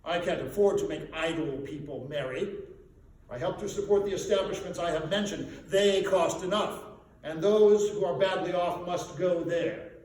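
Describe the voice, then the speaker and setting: A man's voice: high-pitched, moderate pace, darkish tone, lightly acted. A man giving a dramatic storybook reading as a miserly, scornful old businessman with a barking, contemptuous manner. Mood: harsh, defiant. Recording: echoey room, distant mic, faint noise.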